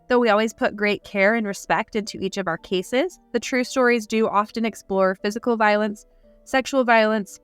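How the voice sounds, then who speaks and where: A woman's voice: medium pitch, smooth, dry recording. Upbeat chatty woman podcast co-host. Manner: upbeat, chatty, laughs easily. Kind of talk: casual chat.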